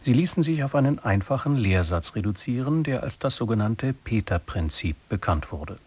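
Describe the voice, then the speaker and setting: A man's voice: medium pitch, expressive, moderate pace, darkish tone, husky. A man delivering a scripted introduction as a radio announcer.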